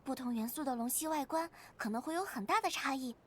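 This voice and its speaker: A woman voicing an earnest, inquisitive young woman adventurer in an anime-style game, with expressive, clearly articulated delivery. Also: sits high, quick pace, a little hiss or hum. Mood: thoughtful explaining. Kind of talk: scripted anime game voice acting.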